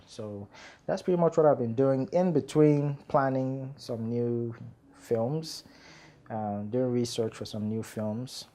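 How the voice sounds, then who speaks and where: A man, a screenwriter guest chatting in an interview, relaxed and rambling with many fillers. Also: lowish voice. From Nigeria. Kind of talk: casual interview chat.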